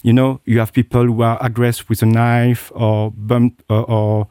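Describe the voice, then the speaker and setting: A man's voice: mid-range voice, little pitch movement, measured pace, mellow, smooth, no room sound, studio quality. A middle-aged man, a guest telling his personal story with many hesitations and restarts. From South Korea. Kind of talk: interview, personal account.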